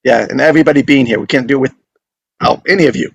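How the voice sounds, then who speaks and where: Casual man hosting, slightly gravelly voice. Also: mid-range voice, rapid-fire, muffled recording. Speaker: host of an online script table read. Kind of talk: casual group video-call chat.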